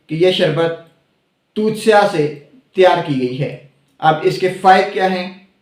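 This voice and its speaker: A man's voice: high voice, roomy sound. A man hosting a health channel, explaining a herbal syrup to viewers and repeating the main points. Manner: repeats key points, addresses viewers directly. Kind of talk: scripted product explainer.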